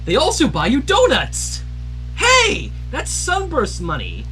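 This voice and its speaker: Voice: sits high, wildly swooping pitch, slow, bright, forceful tone, faint music behind, voice pushed up, exaggerated voice, rough recording. A reader voicing a brash, protective young male dragon with a sly vengeful streak in a dramatic story reading. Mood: indignant. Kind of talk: dramatic fan fiction reading.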